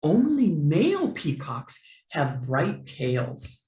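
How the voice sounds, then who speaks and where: Slow, highly animated woman reading to children. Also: roomy sound. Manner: expressive, deliberate, with playful animal sounds. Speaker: children's book reader and online teacher. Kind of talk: slow animated read-aloud for young children.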